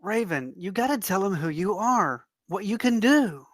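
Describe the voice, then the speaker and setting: A woman's voice: medium pitch, lively intonation, moderate pace, no echo, studio quality, lightly acted. A woman acting an anxious, questioning companion in a screenplay table read, urging others along. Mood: anxious, insistent.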